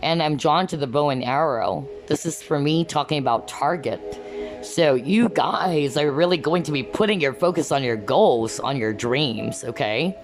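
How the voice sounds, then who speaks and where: A woman giving an earnest tarot card reading, often asking "okay?" as she talks, and her voice is going hoarse. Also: low-pitched, expressive, moderate pace, faint music behind. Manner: earnest, repeats "okay?" to viewers. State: losing her voice. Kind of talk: pick-a-card reading to camera.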